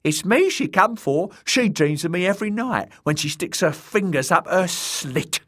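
An actor playing a cruel, crude male jester who brays and mocks his victims in a high, shrieking voice. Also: lively intonation, moderate pace, fairly bright tone. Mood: leering. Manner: mocking, leering. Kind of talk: audiobook character voice.

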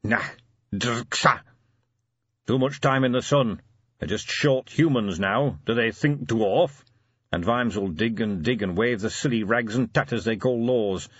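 Man acting a stern, secretive dwarf leader, husky. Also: on the low side, a bit bright, no room sound, lo-fi sound. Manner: insistent, contemptuous, commanding. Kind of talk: audiobook character acting.

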